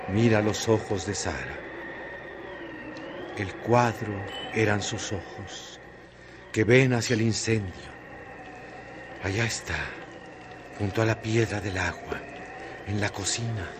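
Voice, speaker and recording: A brooding male storyteller narrates a literary radio drama in a fairly low voice. The delivery is slow, poetic and dramatic. Loud noise runs through the recording.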